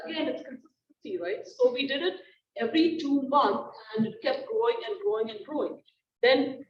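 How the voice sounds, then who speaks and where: A woman's voice: high-pitched, slight background noise. A woman with an Indian accent, a real estate agent telling a panel how she grew her business through events. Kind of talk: panel discussion, sharing experience. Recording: echoey room, distant mic, muffled.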